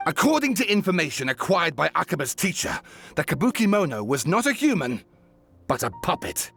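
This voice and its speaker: An excitable game-character writer with a highish, creaky voice that swings wildly as he spins wordy tales. He relishes every spooky detail with theatrical flair, over background music. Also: a little fast, exaggerated voice. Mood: dramatic, earnest. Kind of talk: video game character dialogue.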